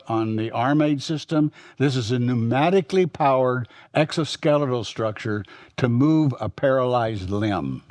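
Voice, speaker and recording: An older man with a Texas drawl lectures about history, reminiscing and wandering through his story with frequent fillers and restarted phrases. His voice sits at an ordinary male pitch, and the recording is close and dry with almost no room sound. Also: a little mellow, dry recording. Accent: Texan. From Texas, United States. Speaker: symposium speaker.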